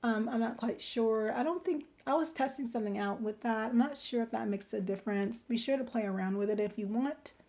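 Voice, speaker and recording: A woman hosting a casual livestream tutorial with a slightly hoarse, strained voice, explaining step by step and checking in as she goes. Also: a little high, a little fast, few pauses, a little mellow. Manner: informal, step-by-step explaining, checking in with "right?" and "okay?". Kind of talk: casual game-design tutorial.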